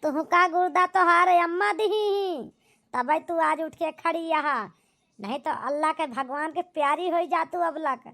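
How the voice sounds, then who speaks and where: Woman voicing a high-pitched scolding Awadhi village aunt. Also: unhurried, a bit bright, full character voice. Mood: reproachful scolding. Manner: scolding, reproachful lecturing. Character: scolding older village aunt, bitterly reproachful. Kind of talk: amateur cartoon comedy-drama dialogue.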